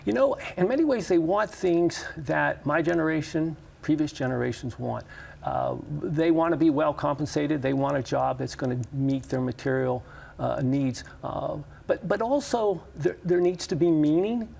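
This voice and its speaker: An American man, middle-aged or older, answering interview questions as a professor, thoughtfully and with halting, pause-filled phrasing. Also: fairly high pitch, vocal fry, a little mellow, breathy edge. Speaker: labor professor and interview guest. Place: TV studio.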